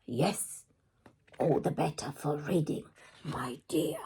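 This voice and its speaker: A woman's voice: low-pitched, slow pace, mellow, breathy edge, whispered, voice pushed down, full character voice. A woman voicing a cunning, hungry male wolf in a children's storybook, sly and menacing. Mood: wheedling.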